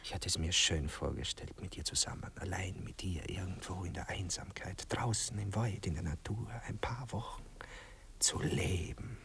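An actor playing a vain, rapturous poet wooing his lover in an Austrian accent, theatrical and breathy, in a 1960s radio play. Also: low voice, moderate pace, fairly bright tone, in a whisper. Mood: sentimental, tender. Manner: theatrical, breathy murmurs, swooning declamation.

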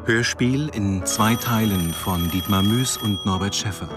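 An actor making the solemn, formal title announcement of a radio play. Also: male voice, lowish voice, measured pace, over loud music, natural voice.

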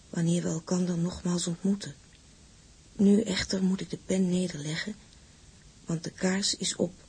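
A woman's voice: lowish voice, slightly rough, exaggerated voice. A woman playing a blunt, bossy but fond young letter writer, speaking intimately in a Dutch radio play. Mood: tender. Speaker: actress in a radio drama. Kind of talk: Dutch radio play, letter monologue in old-fashioned wording.

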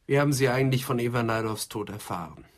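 A male actor plays a detective's assistant in a radio crime play. He has a medium-pitched voice and puts accusations across in a pointed, matter-of-fact manner. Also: fast, lightly acted. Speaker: second interrogator. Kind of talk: German radio crime drama acting.

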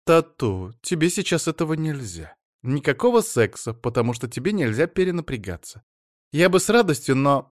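A man's voice: a little high, sing-song, dry recording, studio quality, voice pushed up. A man putting on a flighty chattering wife. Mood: coy. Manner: fast, breathless chatter. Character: excitable young-sounding wife, chattering and flighty.

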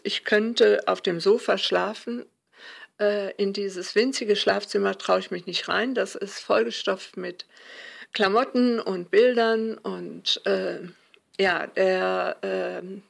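A woman with a gravelly, husky voice talks casually and off the cuff. She feels her way through her thoughts, pausing and reflecting as she goes. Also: mid-range voice. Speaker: hotel project guest. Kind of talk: casual spontaneous talk.

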